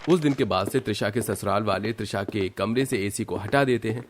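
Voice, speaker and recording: A man narrates an animated family tale in a low voice. His delivery is scripted storytelling, with music playing softly behind. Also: fairly quick.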